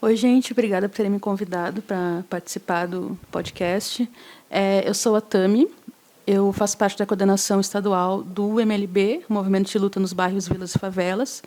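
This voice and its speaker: A woman's voice: mid-range voice, steady tone, moderate pace, a little mellow. A woman speaking as an interview guest, a housing movement coordinator, explaining in a casual, hesitant way with frequent fillers.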